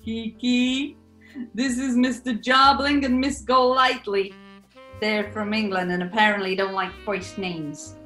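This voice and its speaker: New York mob boss, a man played by a woman, highish and bright, smooth-talking bully, radio comedy, echoey. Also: flat delivery, a little slow, faint music behind. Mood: genial host. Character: bossy, menacing yet genial male gangster club owner. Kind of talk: 1940s radio comedy acting. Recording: echoey room.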